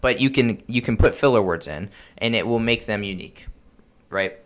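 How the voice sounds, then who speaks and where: A man with a fairly low voice hosts a podcast. He explains in a casual, conversational style, leaning on filler words and breaking off to rephrase himself. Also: normal speed. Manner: informal, frequent filler words and self-interruption. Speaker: podcast host giving game master advice.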